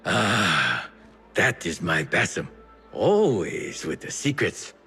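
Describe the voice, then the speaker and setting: A man's voice: low voice, very animated, takes it slowly, sharp tone, husky, soft background music, full character voice. An actor playing a wily, jovial old Chinese tea merchant in a video game, exclaiming dramatically and teasing as he goes. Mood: conspiratorial, grumbling. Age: older. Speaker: merchant character. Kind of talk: video game cutscene acting.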